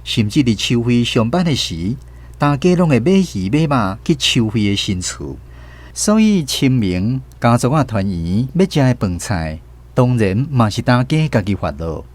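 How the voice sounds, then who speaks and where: A man with a Taiwanese accent giving expressive, scripted storytelling narration for a radio drama. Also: mid-range voice, normal speed. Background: plucked guitar music.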